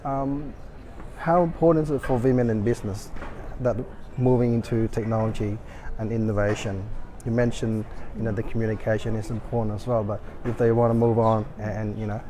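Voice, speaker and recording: Halting male interviewer at an event. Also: on the low side, fairly even intonation, mellow, a little hiss or hum. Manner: halting, many fillers and restarts, eager.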